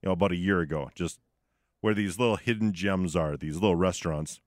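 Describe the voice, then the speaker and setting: A man's voice: deep voice, brisk, no room sound. Man chatting on sports radio, dry studio sound. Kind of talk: live sports talk radio chat.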